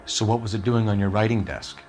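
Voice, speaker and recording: A man's voice: on the low side, a little fast, darkish tone, soft background music, lightly acted. A man voicing a blunt, needling police detective in a crime game, terse and accusatory. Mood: accusing. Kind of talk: video game voice acting, 1940s crime drama.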